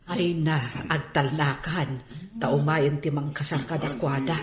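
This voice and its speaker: A woman's voice: sits low, steady tone, measured pace, echoey room. An actress with an Ilocano Filipino accent playing a fretful, scolding middle-aged mother in a radio drama. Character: fretful mother at her child's wedding, scolding her husband.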